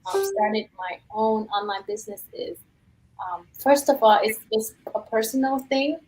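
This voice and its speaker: A woman shares her thoughts in a casual online chat. Her voice sits a little high. She is reflective and uses frequent fillers. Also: rough recording. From Vietnam. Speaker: co-host.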